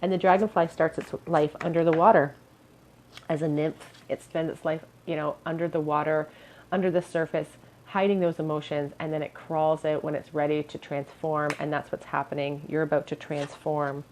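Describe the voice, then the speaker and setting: A woman giving a pick-a-card tarot reading in a musing tone, her voice a little hoarse and scratchy, often clearing her throat. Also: low-pitched, little pitch movement, moderate pace, darkish tone. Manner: musing, hedges with "I feel". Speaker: tarot reader.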